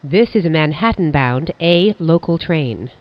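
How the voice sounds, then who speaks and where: A train announcer reading scripted station announcements in a formal, measured, pieced-together way. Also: medium pitch, lively intonation, dry recording, muffled recording.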